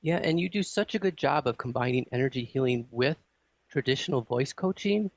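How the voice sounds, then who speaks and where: Female, gravelly creak, conversational radio interviewer, dry, slightly muffled audio. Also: a bass voice, lively intonation, brisk, darkish tone, dry recording.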